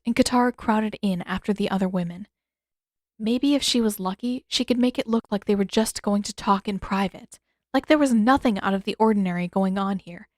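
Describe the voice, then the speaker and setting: A woman's voice: medium pitch, a little mellow, no room sound. A woman reading fiction aloud as a scripted narrator, close to the mic.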